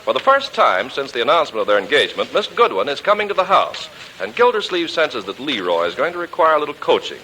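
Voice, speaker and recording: A man's voice: mid-range voice, very animated, quick pace, bright, forceful tone. A man with an American accent reading scripted 1940s radio announcements in a polished, emphatic way. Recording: noisy 1940s radio recording.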